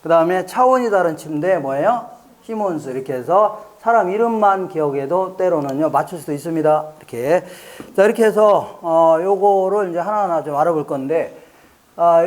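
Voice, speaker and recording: A man's voice: sits high, brisk, darkish tone. A man from the Chungcheong region teaching an exam prep lecture, playful and jokey, quizzing listeners with rhetorical questions and answering them himself. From Chungcheong region, Korea. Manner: playful, jokey, drills mnemonics by repetition.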